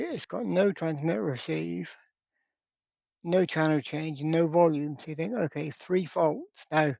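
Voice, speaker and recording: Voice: mid-range voice, creaky, a little mellow, no room sound. A presenter giving a casual workbench repair demonstration, thinking aloud step by step in an informal way. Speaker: electronics repair hobbyist presenter.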